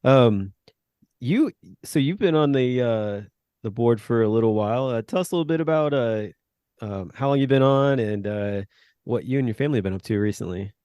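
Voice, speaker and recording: A man's voice: mid-range voice, lively intonation, moderate pace, dark tone, clear, resonant tone, no room sound. A man hosting a podcast, chatting in a friendly, loose way with lots of fillers and laughs. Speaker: podcast host. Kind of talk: casual interview chat.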